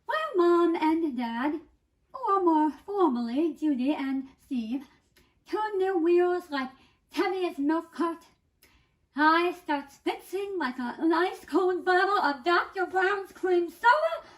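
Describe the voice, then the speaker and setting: Very high-pitched, animated woman performing a monologue. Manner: dramatic, deliberate, with emphatic pauses. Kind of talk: theatrical first-person comic monologue reading.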